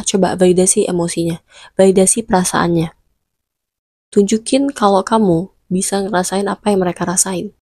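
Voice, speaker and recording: A woman's voice: fairly low pitch, a little mellow, no echo. Young woman with a stuffy nose. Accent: Indonesian. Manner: chatty, mixes English phrases, playful scolding. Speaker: vlogger. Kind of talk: casual advice vlog.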